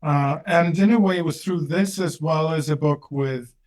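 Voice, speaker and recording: A man from Greece, a professor and author, answering interview questions thoughtfully with frequent pauses and restarts. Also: on the high side, a little mellow. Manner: thoughtful, hesitant, many fillers and restarts. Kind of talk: interview conversation.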